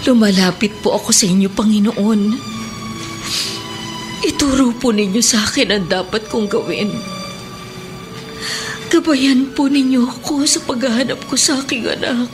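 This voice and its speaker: A desperate Filipino mother played by an actress prays in a high, pleading voice. It is radio drama acting with clear music underneath. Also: normal speed, pauses often, natural voice. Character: desperate, prayerful mother seeking her lost child.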